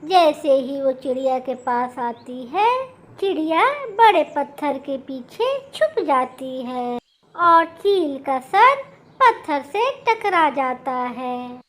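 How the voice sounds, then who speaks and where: Slow, very high-voiced woman storyteller. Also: lively intonation. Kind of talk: scripted children's story narration.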